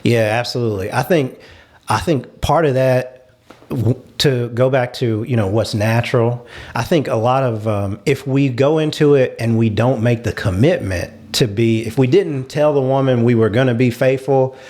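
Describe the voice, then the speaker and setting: Male panel host, mid-pitched voice, earnest and conversational, reasons things out aloud. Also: normal speed, a little mellow. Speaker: show host.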